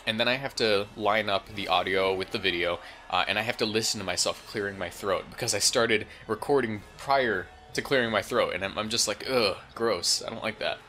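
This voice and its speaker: A man's voice: fairly low pitch, a little fast, pushed and bright. A slightly congested man giving casual, informal gameplay commentary, thinking aloud as he goes. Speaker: gaming video host.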